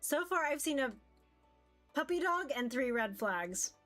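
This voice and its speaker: A woman's voice: sits high, very animated, a little slow, a bit bright, soft background music. A woman streamer reading a visual novel aloud as narration and commenting casually as she plays.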